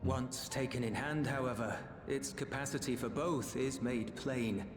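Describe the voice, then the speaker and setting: An actor playing a solemn male sage in a video game, speaking in a grave, measured, formal manner. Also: medium pitch, lightly acted. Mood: grave, cautioning. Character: solemn, sage male keeper of old knowledge. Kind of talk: dramatic game cutscene acting. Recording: echoey hall reverb, game music.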